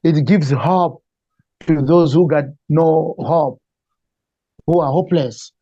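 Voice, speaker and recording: A man's voice: a little high, slow, mellow, breathy edge, dry recording, dull audio. A man from Malawi with a Malawian accent, answering conversationally as a musician being interviewed. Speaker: interview guest, reggae singer.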